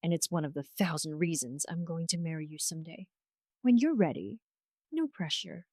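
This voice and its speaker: A woman voicing a gentle, devoted man in love in an audio romance story, tender and sincere. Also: low voice, lively intonation, moderate pace, no echo, studio quality. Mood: affectionate teasing.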